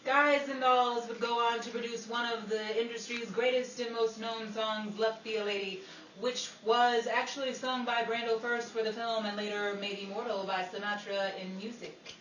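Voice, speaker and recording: A woman's voice: on the high side, level tone, moderate pace, close to an everyday voice. A woman playing a poised, patient 1950s Hollywood radio hostess in old-time radio style. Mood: polished newscasting. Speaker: radio show host and actress. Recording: roomy, distant microphone, loud background noise.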